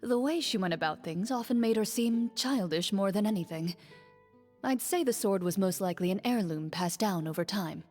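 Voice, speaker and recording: A woman voices a reserved game duelist with a medium-pitched, measured voice. She sounds composed and matter-of-fact, with long reverb and music behind her. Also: animated, a little mellow, close to an everyday voice.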